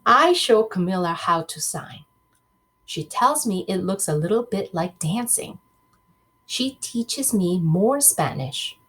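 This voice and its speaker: Woman, primary teacher read-aloud. Lively swooping pitch, slightly husky, unhurried, speaks straight to the children. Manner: expressive, addresses children directly with questions. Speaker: teacher reading aloud to a class.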